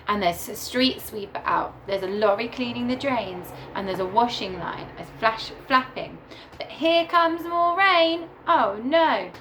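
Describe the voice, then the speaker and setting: Unhurried woman reading a children's story. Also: fairly high pitch, a little hiss or hum. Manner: deliberate, animated storytelling with emphasised words. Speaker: primary school teacher reading to her class. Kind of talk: expressive children's picture book read-aloud.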